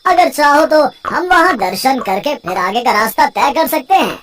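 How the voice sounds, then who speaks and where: An actor plays a scheming sorcerer in a cartoon folk tale, posing as a sweet-talking uncle. The voice is pitched high and swings about widely, coaxing and persuading with an ingratiating manner. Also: expressive, normal speed, a bit bright. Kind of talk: animated Hindi moral story acting.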